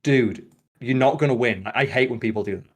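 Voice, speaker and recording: A man's voice: medium pitch, a little fast, husky. A man chatting casually as a podcast host, blunt and opinionated, with plenty of swearing.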